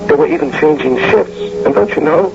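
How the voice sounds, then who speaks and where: A man acting a wily bank robber who narrates his own caper in a radio mystery drama, animated and dramatic. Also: a little high, creaky, over loud music. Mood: wry, boastful. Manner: animated, storytelling with rhetorical questions and dramatic pauses. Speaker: narrator and lead character. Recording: noisy, dull vintage radio broadcast.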